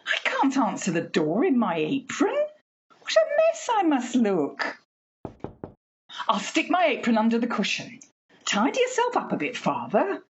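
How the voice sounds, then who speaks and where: A woman playing a fussy, chatty working-class mother in a radio play, homely and expressive. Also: a little high, slightly rough, highs cut off, exaggerated voice.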